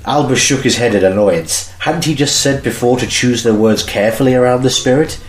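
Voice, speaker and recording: A man's voice: mid-range voice, brisk. A man reading scripted narration as the narrator of an amateur audio drama. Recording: roomy, echoing home recording.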